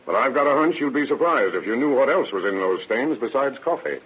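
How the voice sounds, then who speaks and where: An actor playing a confident, dogged sheriff in an old radio drama, firm and matter-of-fact. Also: male voice, mid-range voice, animated, brisk, background noise, close to an everyday voice. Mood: polite, probing. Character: confident, dogged male sheriff, brisk and authoritative. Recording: tinny narrow-band vintage radio recording.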